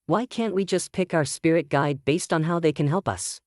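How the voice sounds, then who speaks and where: A man's voice: a little high, moderate pace, darkish tone, no echo, studio quality, natural voice. A man voicing a cheeky young male werewolf pack member with a casual, needling manner in a fantasy audiobook. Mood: questioning.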